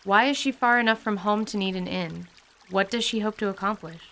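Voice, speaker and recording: A woman narrating a scripted tabletop radio drama in an even, descriptive way, over music. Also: mid-range voice, a little fast. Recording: clear music bed under voice.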